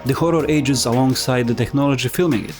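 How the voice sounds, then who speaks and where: A man's voice: medium pitch, quick pace, darkish tone. A man narrating a scripted explainer as a video essayist, with wry, conversational asides. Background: music.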